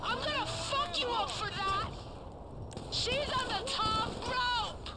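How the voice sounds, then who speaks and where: An actor playing a wild brawler in a video game shootout, shouting taunts. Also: very high-pitched, slow pace, heavy background noise. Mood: battle fury.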